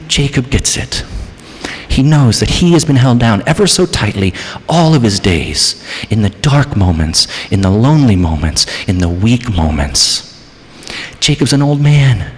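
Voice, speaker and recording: A man preaching a sermon as pastor, dramatic, moving between hushed passages and raised, emphatic ones. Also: lowish voice. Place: church sanctuary. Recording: church hall reverb.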